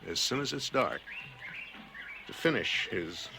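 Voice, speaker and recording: An actor playing a tough, commanding lion hunter in a radio drama, with a terse delivery. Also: male voice, lowish voice, lively intonation, a little slow, creaky. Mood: grimly assured. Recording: noisy 1980 radio drama recording.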